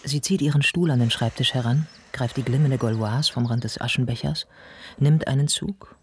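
A detached male narrator, voiced by an actor in a radio play. He describes scenes soberly in the present tense, at a middle pitch. Faint ambient sound sits behind the observant, scripted delivery. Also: moderate pace, a little hiss or hum, close to an everyday voice.

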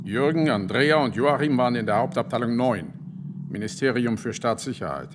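Radio drama: actor as streetwise male ex-agent, brisk and reassuring, mid-pitched, heavy background noise. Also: animated, lightly acted. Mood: reassuring, firm. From East Germany. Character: streetwise, reassuring East German ex-agent friend. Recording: loud background noise, vehicle ambience.

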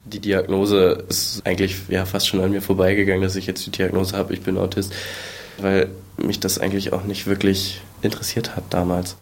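A man's voice: low voice, completely flat delivery, brisk. A nineteen-year-old man speaking in a matter-of-fact way. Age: young adult. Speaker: interviewee.

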